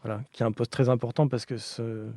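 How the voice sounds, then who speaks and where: A man's voice: medium pitch, flat delivery, brisk, warm tone, dry recording, clean studio sound. A man giving conversational answers as an architect being interviewed.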